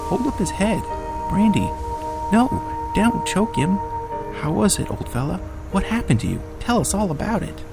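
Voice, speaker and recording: Bickering little animal workers from a storybook voiced by a man, bustling and bossy. The pitch leaps far up and swoops, with loud music behind. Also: sing-song, normal speed, gravelly, exaggerated voice. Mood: flustered. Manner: bustling, shouting instructions.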